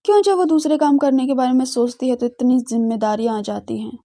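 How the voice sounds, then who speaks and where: A dutiful young daughter in a family audio drama, acted by a woman. She is earnest, frustrated and determined. The voice sits high and soft-toned, with emphatic phrasing. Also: fairly quick. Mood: frustrated resolve. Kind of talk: audio drama character dialogue.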